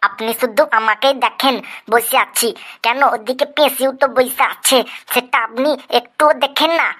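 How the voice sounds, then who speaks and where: Lady doing a squeaky high schoolkid, cheeky and dim, whines back at teacher. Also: a little slow, a bit bright. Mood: protesting. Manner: hesitant answers, whining protests. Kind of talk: comedy cartoon dialogue.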